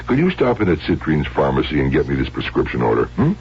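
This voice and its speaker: A genial middle-aged doctor father talks in a very deep, folksy, halting voice. It is an old radio drama recording, dull and noisy with hum. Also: wildly swooping pitch, exaggerated voice. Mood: genial, preoccupied. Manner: folksy, hesitant, tags sentences with huh and hmm. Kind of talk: 1970s radio drama acting.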